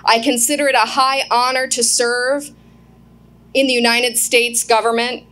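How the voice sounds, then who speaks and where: A woman's voice: a little high, flat delivery, measured pace, sharp tone. A woman in her forties giving an earnest speech to a live crowd, asking the audience questions as she goes. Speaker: guest speaker. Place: outdoor event.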